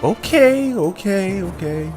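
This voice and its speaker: A man playing an excitable, trusting male creature, the heroine's best friend, in an amateur audio drama. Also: high-pitched, takes it slowly, over loud music. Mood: nervous. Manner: excitable, urgent.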